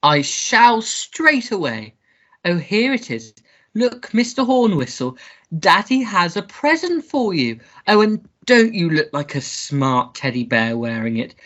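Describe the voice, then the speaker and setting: A woman playing a foppish, childish upper-class English gentleman in a comic melodrama radio play, pompous and theatrical. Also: on the low side, sing-song, unhurried, exaggerated voice. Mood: gushing. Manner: pompous, theatrical, babyish gushing.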